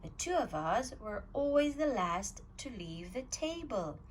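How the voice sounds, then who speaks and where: A woman reading a children's picture book aloud at storytime, telling it with an expressive, swinging voice. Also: fairly high pitch, a little slow, a little hiss or hum. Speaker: storytime reader.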